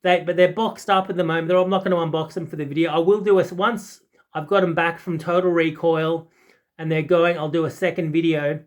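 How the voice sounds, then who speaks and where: A man's voice: a little high, little pitch movement, brisk. A man in his forties giving a casual, unscripted product talk, rambling and often hesitating or correcting himself. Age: 40s. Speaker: audiophile video host.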